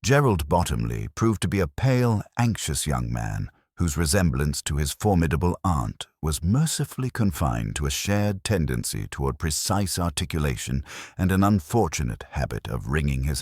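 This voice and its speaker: Very deep-voiced man narrating a mystery. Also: big pitch swings, a little mellow, no room sound, studio quality. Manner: measured, formal, literary delivery. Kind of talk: scripted mystery story narration.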